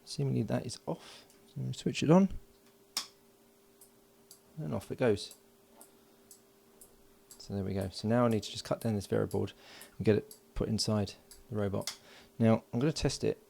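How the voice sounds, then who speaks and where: A man giving relaxed, matter-of-fact running commentary as he solders at a home workbench, recorded by an overhead camera. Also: lowish voice, moderate pace, vocal fry, long pauses, a little hiss or hum. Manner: mutters along while working, relaxed and matter-of-fact. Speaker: electronics hobbyist. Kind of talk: casual build commentary.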